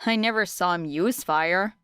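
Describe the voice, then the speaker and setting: Brief: woman narrator playing a sarcastic, scheming schoolgirl for audiobook dialogue; crisp, dry close sound. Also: fairly low pitch, unhurried, a bit bright, no room sound. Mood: matter-of-fact. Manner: sarcastic, blunt. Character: brash, teasing, scheming teenage girl student.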